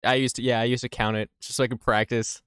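A man's voice: mid-range voice, little pitch movement, quick pace, a bit bright, clean tone, no room sound, clean studio sound. A young man co-hosting a casual podcast chat, who stutters often and laughs a lot. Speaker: podcast co-host.

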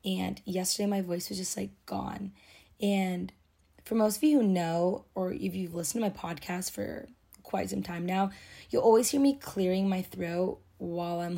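Solo podcast host, woman in her twenties; raspy, husky voice with fry; rambling, confessional, filler-heavy chat. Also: lowish voice, fairly even intonation, moderate pace, dark tone. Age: 20s. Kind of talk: casual solo podcast monologue.

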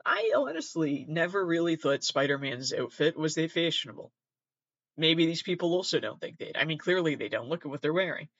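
A man's voice: fairly high pitch, expressive, brisk, fairly bright tone. A man hosting a casual, rambling reaction commentary, with sardonic, sweary asides and muttered self-talk. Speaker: host. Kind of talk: casual rambling reaction commentary, reading aloud from web articles. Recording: roomy, slightly dull lo-fi sound.

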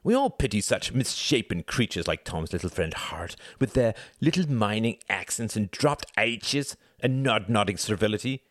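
A man's voice: expressive, moderate pace, few pauses, no echo, clean studio sound. A man reading as an arrogant, sneering upper-class young man, indignant and haughty. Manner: haughty, indignant, rising shrill.

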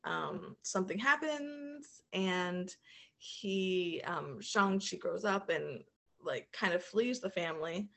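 A woman co-hosting a podcast, chatting informally and pausing often as she searches for her words. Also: on the low side, expressive, vocal fry, fairly bright tone. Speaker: podcast co-host. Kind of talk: casual chat, giving movie reviews.

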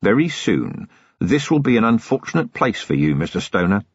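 A man's voice: on the low side, very animated, normal speed, breathy edge, no room sound, dull audio. A man reading an audiobook, voicing a tough middle-aged male police commander who is stern and dryly sarcastic. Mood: menacing.

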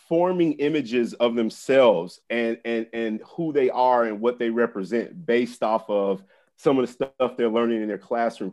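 A man co-hosting an education podcast, talking in a halting way with many fillers and restarts. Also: medium pitch, mellow. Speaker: podcast co-host.

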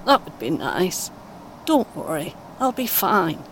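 A woman playing a kindly, encouraging British grandmother in her eighties in an audio play, speaking gently and reassuringly. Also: very animated, takes it slowly, exaggerated voice. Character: kindly, encouraging 81-year-old grandmother on a coach. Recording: clear vehicle rumble noise. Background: vehicle ambience.

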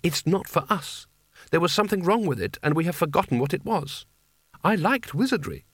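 A man's voice: on the high side, sing-song, fairly quick, fairly bright tone, slightly rough, no echo, clean studio sound, voice pushed up, full character voice. A man voicing a meek, nervous wizard in an audiobook, with a hesitant, faltering delivery. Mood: wistful. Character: timid, anxious male wizard, nervous and meek. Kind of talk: audiobook character voice.

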